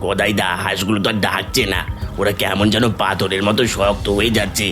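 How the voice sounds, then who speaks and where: A man's voice: on the low side, little pitch movement, a little fast, over music, natural voice. A man voicing a greedy, cowardly village thief in a cartoon, whining, panicky and pleading in an exaggerated style. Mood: uneasy, fearful.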